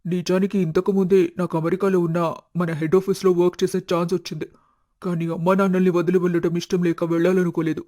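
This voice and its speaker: A man's voice: high voice, warm tone, close to an everyday voice. A man voicing a young ex-boyfriend in a cartoon story, explaining himself persuasively. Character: smooth-talking young ex-boyfriend.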